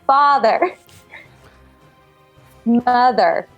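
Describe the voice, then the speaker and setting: A woman playing a melodramatic, earnest seventeen-year-old daughter in a comic screenplay reading, halting and pleading. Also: on the high side, lo-fi sound, soft background music. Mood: overwrought.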